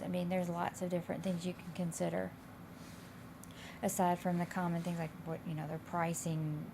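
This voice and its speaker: A woman instructor with a slightly raspy, hoarse voice, explaining coursework in a casual, loosely phrased, conversational way. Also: lowish voice. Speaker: college instructor. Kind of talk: informal explanation of course assignments. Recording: clear background noise.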